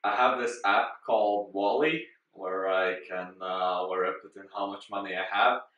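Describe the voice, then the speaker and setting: A young man from Latvia, a student, answering interview questions in a relaxed, matter-of-fact way. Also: deep voice, normal speed. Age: young adult. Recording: roomy, distant, dull-sounding.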